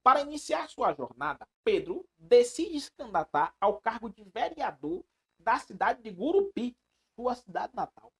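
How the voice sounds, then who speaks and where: A man teaching an animated live exam-prep class, emphatic, repeating phrases and putting questions to his students. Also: very high voice, moderate pace, breathy edge. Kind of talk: animated live online lecture.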